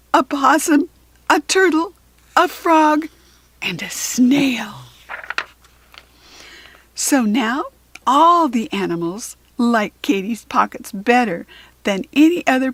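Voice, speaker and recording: A woman reads a children's storybook aloud in a high, breathy voice. She phrases slowly and deliberately, leaning on words for drama. Her intonation is very animated. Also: no echo.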